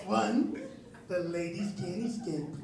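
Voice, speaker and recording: Very high, raspy-voiced young comedian. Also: male voice, slow, heavy background noise. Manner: self-mocking deadpan delivery. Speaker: stand-up comedian. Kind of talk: stand-up comedy set. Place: comedy club stage. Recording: echoey club with crowd. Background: audience laughter.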